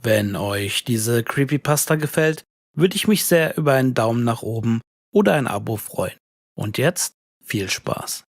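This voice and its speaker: A man's voice: mid-range voice, animated, a little slow, dry recording. A man reading a scripted horror story as its narrator. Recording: music bed under the voice. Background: music.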